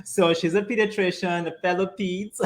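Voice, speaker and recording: A man's voice: high-pitched, steady tone, moderate pace, crowd noise. A man hosting a weekly live interview show as a physician, enthusiastic and affirming, speaking over a video call.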